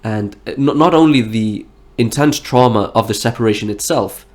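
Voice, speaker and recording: A man's voice: on the low side, normal speed. Nasal man with a stuffy nose. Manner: frank, reflective, rambling. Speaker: health vlogger. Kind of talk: candid personal monologue.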